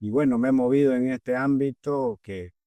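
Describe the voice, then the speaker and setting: A middle-aged man with a Bolivian Spanish accent, a guest in an interview, who answers in a measured, earnest way with pauses and fillers. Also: mid-range voice, mellow, no echo. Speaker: agricultural leader, guest.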